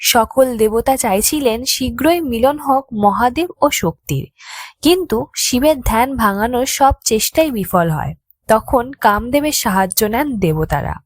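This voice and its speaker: A woman's voice: fairly high pitch, animated, a little slow, no room sound. A woman reading a scripted mythological tale in a formal, literary storytelling manner. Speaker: storyteller narrator. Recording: background music under the voice. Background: music.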